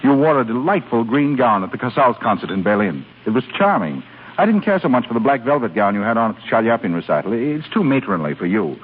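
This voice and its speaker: An actor playing a suave, teasing secret admirer in a 1930s radio drama, smooth with small hesitations. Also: male voice, medium pitch, sing-song, quick pace. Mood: teasing, admiring. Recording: tinny, noisy 1930s radio broadcast.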